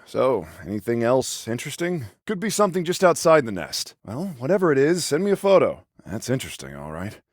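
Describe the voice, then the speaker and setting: Casting: exasperated older-brother cop in a video game, sarcastic, by-the-book, protective, mid-pitched with lively swings, close dry studio sound. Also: male voice, fairly quick, few pauses, no room sound. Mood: businesslike, focused. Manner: sardonic, exasperated, conversational with hesitations. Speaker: police officer character in a video game. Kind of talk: video game voice acting, one side of phone conversations.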